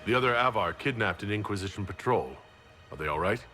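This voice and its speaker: An actor playing a composed, dryly witty elf leader in a video game, with a measured, questioning delivery. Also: male voice, lowish voice, animated, pushed and bright, soft background music.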